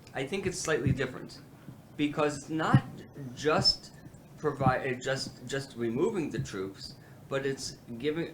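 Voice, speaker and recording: Halting man hosting a policy roundtable. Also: medium pitch, a little hiss or hum, low-quality recording. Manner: hesitant, many fillers and restarts. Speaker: institute president hosting a policy meeting.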